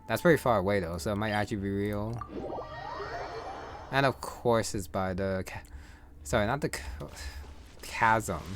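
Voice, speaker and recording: Low-voiced man commentating on a game. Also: measured pace, pauses often. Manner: rambling, thinking aloud. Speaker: gaming streamer. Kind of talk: casual gameplay commentary. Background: game music.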